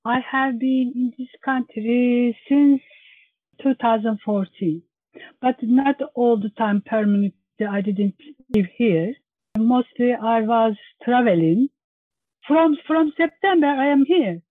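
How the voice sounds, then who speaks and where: A woman's voice: on the high side, slow, no echo. Turkish woman on a thin phone-like line. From Turkey. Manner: careful, non-native phrasing. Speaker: English learner interviewed.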